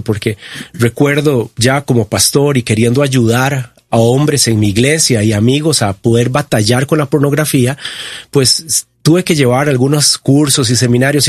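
A man in his fifties with a Costa Rican accent, giving personal testimony as an interview guest in a reflective, halting way. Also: mid-range voice, no room sound. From Costa Rica. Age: 50s.

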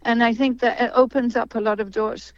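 A woman's voice: on the high side, steady tone, a little fast, no room sound, lo-fi sound. A woman guest on a radio talk show, chatty and hesitant, with many fillers as she explains a festival. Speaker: guest, film festival organizer. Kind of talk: casual radio interview.

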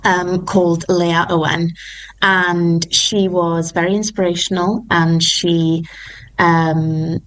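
Enthusiastic woman, lowish voice. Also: flat delivery, slow pace, fairly bright tone, poor audio. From Wales. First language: Welsh. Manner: enthusiastic, rambling with restarts. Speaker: musical theatre performer and singer. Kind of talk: interview.

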